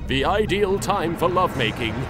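A vain ancient pharaoh acted by a man speaks in a fairly high male voice that swoops grandly. He is a melodramatic, pompous anime parody duelist, with music behind him. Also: expressive, moderate pace, natural voice. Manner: theatrical, grandiose, swooping delivery. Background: background music.

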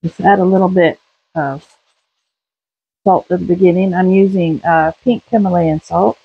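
A woman narrating a home cooking video in her kitchen, talking through each step in a relaxed way with small fillers. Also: fairly low pitch, normal speed, creaky, husky, narrow, tinny audio. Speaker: home cook, channel host.

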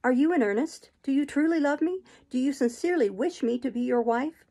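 A woman's voice: sits high, expressive, brisk, mellow, voice pushed up. A woman voicing a proud, devoted young woman in love, earnest and emphatic in a storybook reading.

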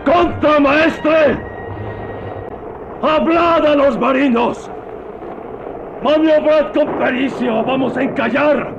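Through a dull, noisy old radio recording comes a panicked seafarer. He declaims his orders in urgent shouts, pitched extremely high and drawn out slowly. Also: full character voice. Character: panicked man shouting orders aboard a storm-tossed ship. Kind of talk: old radio drama acting. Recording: dull archive radio recording, loud storm noise.

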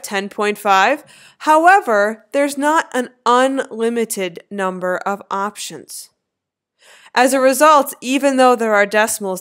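A woman in her thirties narrating a slideshow lecture as an instructor, with clear, lively teacherly emphasis. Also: fairly high pitch. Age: 30s.

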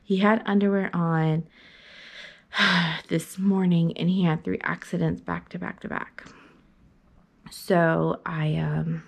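A young woman with a low, gravelly voice chats casually to a phone camera held at arm's length. She rambles through her thoughts with lots of ums and restarts, recorded in a small room at home. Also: flat delivery, slow, pauses often. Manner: rambling, hesitant, many fillers and restarts. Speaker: mom vlogger. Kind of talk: casual chat. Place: small carpeted playroom at home, indoors. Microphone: handheld phone camera at arm's length.

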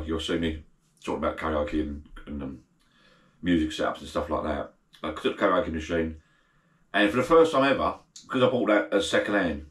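Deep-voiced, croaky man rambling in a shed bar. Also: roomy sound. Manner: chatty, self-deprecating, meandering. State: croaky after a night of drinks. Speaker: vlogger. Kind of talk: casual rambling chat to camera. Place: garden bar shed, indoors.

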